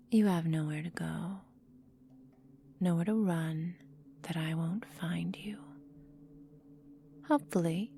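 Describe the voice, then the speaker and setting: Woman acting a menacing vampire mistress, ASMR whisper, low and dark, possessive, calm and deliberate, close mic, noticeable background noise. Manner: slow, breathy, deliberate, coolly domineering.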